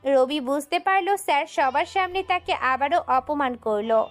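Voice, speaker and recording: A woman with a very high voice narrates a dramatic audio story from a script. Soft music runs behind her narration. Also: animated, a little slow.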